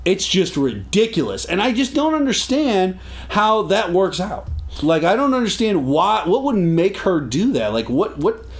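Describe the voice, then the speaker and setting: A man hosting a casual talk show from a studio, joking and animated. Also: high-pitched. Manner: fast-talking, animated, joking. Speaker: podcast host. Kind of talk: casual radio-style chat. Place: recording studio.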